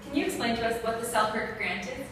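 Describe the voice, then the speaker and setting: A woman reading scripted interview questions for a school project, in a soft, careful way. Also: on the high side, fast talker. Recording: echoey room, far from the mic, music behind.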